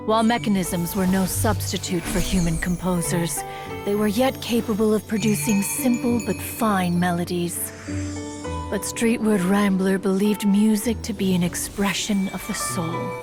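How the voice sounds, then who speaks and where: Actress as proud immortal woman, stately and archaic game narrator, mid-range voice over clear music. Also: medium pitch, natural voice. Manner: stately, archaic, refers to self as one. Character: proud, formal immortal adeptus woman recalling lost friends. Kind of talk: scripted game story narration. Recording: clean voice under clear music. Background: orchestral game music.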